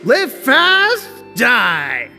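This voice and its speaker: A man's voice: very high-pitched, wildly swooping pitch, drawn-out words, creaky, bright, forceful tone, exaggerated voice. An actor playing a chummy, loose-tongued, tipsy businessman in a video game, slurred and rambling. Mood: tipsy, jovial. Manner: rambling, familiar, full of chuckles and stalls. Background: background music.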